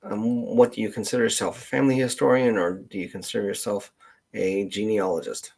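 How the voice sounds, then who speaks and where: A man with a Canadian accent hosting a casual livestream chat, meandering and thinking aloud in a halting way. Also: on the low side, steady tone, gravelly, a little mellow, breathy edge. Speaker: livestream host, genealogist.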